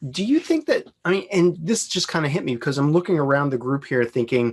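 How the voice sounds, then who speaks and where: Lively curious co-host man. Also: on the high side, fast talker, slightly rough. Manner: animated, curious questioning. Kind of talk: casual panel chat.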